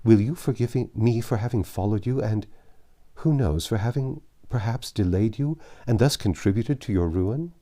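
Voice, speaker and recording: Man voicing a gentle, devoted young woman, lifted pitch. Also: normal speed, natural voice. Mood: courteous, questioning. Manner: tender, pleading, courteous.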